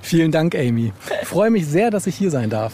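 A man with a fairly high, mellow voice gives a casual interview as a guest. He phrases things hesitantly and adds frequent tag questions. Outdoor town noise is clearly audible behind him. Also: very animated, brisk. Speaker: interview guest, novel writer. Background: urban outdoor ambience.

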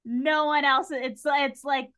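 A woman chatting casually on a podcast, retelling things in an animated way and acting out imagined lines. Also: high voice, slow pace. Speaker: podcast panelist. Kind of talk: casual chat discussing a TV series.

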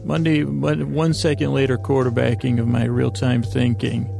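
Gravelly rambling man hosting a podcast. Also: mid-range voice, completely flat delivery, moderate pace, dark tone, faint music behind. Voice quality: gravelly, creaky voice. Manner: meandering, self-interrupting, drifts in pace. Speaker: podcast host. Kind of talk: rambling bedtime podcast talk.

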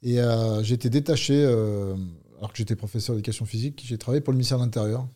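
A man in his fifties from Paris, a police unit leader talking through his career as a guest, measured and hesitant with fillers. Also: mid-range voice, warm tone. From Paris, France. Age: 50s. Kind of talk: conversational interview.

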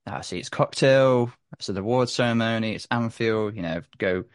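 Young guy, low voice, stumbles and restarts a lot, dry close sound. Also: smooth, no room sound. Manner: halting, with many restarts and repeated words. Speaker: podcast guest. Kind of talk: casual chat.

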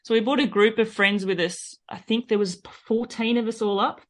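A woman's voice: on the high side, steady tone, normal speed. An Australian woman, a podcast guest, telling her story in a chatty, reflective way with frequent fillers. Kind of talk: interview, personal storytelling.

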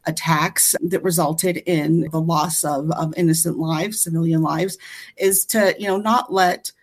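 A woman's voice: low-pitched, fairly even intonation, a little slow. A woman speaking as a guest in an earnest, conversational appeal. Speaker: guest, aid charity worker.

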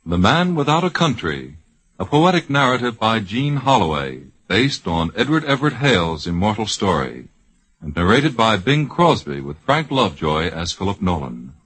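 An American man announcing formally and deliberately from a script in 1950s radio style. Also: on the low side, very animated, a bit bright, husky, low-quality recording. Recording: 1950s radio broadcast with music. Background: music.

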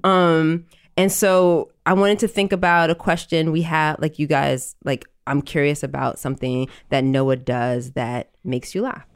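A woman's voice: sits low, fairly even intonation, a little slow, smooth. A woman hosting a podcast, chatting casually and leaning on "like" as she talks. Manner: chatty, fills speech with "like". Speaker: podcast host.

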